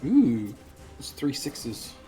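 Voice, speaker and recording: A man with a middle-pitched voice talks as a player in a tabletop game. His delivery is casual and conversational. Faint music runs behind him. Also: huge pitch swings, drawn-out words.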